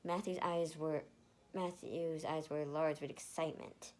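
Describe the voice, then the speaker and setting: A woman reading fan fiction aloud in an expressive amateur way, sometimes stumbling and re-reading words. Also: deep voice, a little slow. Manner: animated, stumbles and re-reads words.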